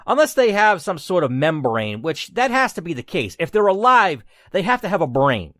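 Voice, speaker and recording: A man's voice: on the high side, very animated, moderate pace, fairly bright tone, dry recording, clean studio sound. A man hosting a game playthrough, moving between dramatic read-aloud storytelling and casual commentary. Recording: clear background noise.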